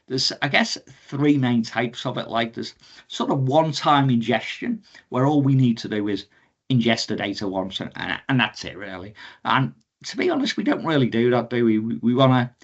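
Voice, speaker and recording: A man giving an informal technical presentation on a video call, explaining in a conversational way. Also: medium pitch. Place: indoor room, on a video call. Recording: dull, lo-fi video-call audio.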